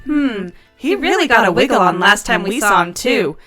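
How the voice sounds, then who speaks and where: A woman playing a bossy, sardonic alien mechanic in an audio drama, speaking briskly and sarcastically. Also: mid-range voice, sing-song, bright, forceful tone, soft background music. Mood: concerned, chatty. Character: dividing two-headed alien plasmoid mechanic, sardonic and bossy. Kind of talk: scripted audio drama dialogue.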